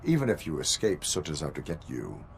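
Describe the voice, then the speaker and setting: A man playing a stern cyborg police officer in a video game, speaking formally with mechanical, deadpan phrasing. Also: a bass voice, fairly quick, gravelly, slight background noise, exaggerated voice.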